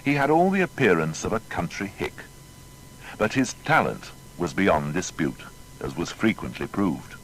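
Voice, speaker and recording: A man narrating a scripted dramatised biography in a formal, measured storytelling manner. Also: low voice, sing-song, slight background noise, rough recording.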